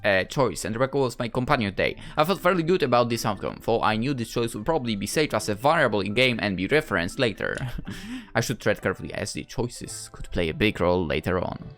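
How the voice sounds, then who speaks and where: A man with a lively, moving pitch rambles playfully through a game playthrough. His commentary is casual, and music plays quietly behind him. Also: fast talker. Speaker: gaming video creator playing his visual novel. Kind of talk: casual playthrough commentary, reading dialogue aloud.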